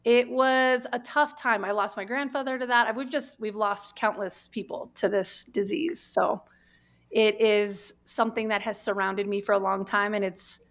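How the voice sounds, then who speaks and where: An American woman from New Mexico telling her personal story candidly in conversation, earnest and halting, repeating words for emphasis. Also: mid-range voice, fairly even intonation. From New Mexico, United States.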